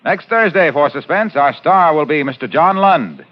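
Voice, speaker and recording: A man's voice: fairly high pitch, expressive, normal speed. An American man announcing from a script in the style of 1940s radio. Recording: muffled vintage radio with music behind.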